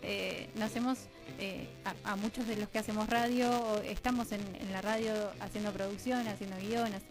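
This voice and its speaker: A woman's voice: medium pitch, steady tone, moderate pace, faint music behind, poor audio. A woman with an Argentine accent talking discursively and reflectively as a guest in a conversational radio interview. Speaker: radio drama producer and guest.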